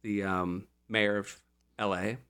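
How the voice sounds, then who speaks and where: A man's voice: on the low side, level tone, slow, fairly bright tone, smooth. A man co-hosting a comedy podcast, rambling and giggling through casual jokes with his co-host.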